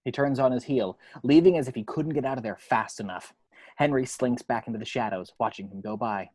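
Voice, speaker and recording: A man's voice: medium pitch, fairly quick, a little mellow, slightly rough, no room sound. A man narrating screenplay action lines at an amateur table read in a matter-of-fact storytelling way.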